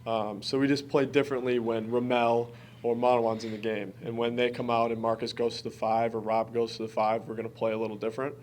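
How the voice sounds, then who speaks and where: A man's voice: medium pitch, flat delivery, fast, darkish tone, a little hiss or hum. A young man from Wisconsin, a college basketball player, answering press conference questions in an earnest, informal way. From Wisconsin, United States. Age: early twenties.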